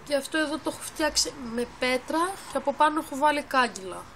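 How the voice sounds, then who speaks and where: Μια γυναίκα με λίγο ψηλή φωνή ξεναγεί χαλαρά σε ένα ψηφιακό γήπεδο, κομπιάζει και διορθώνει συχνά τα λόγια της. Μιλά σε ένα σκοτεινό δωμάτιο δίπλα σε υπολογιστή. Η εγγραφή είναι από κινητό τηλέφωνο και έχει έντονο θόρυβο από πίσω. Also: female voice, sits high, expressive. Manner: halting, self-correcting, explains step by step. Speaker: game builder giving a tour. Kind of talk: casual tour of a game build. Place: dim room at home, by a computer. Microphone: phone camera filming the monitor. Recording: noisy home phone recording.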